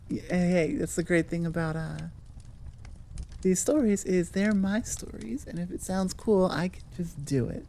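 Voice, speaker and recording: A woman's voice: on the low side, warm tone. A woman hosting her audio channel, chatting casually to listeners in a rambling, informal way and often interrupting herself. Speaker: audio roleplay creator and host. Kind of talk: casual, improvised chat to listeners.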